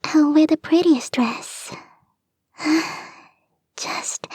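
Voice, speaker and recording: A woman voicing a clingy, lovestruck young kitsune fox girl in a soft, breathy, often whispered ASMR romance roleplay, coy and gushing. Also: high-pitched, dry recording. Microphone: close microphone, dry.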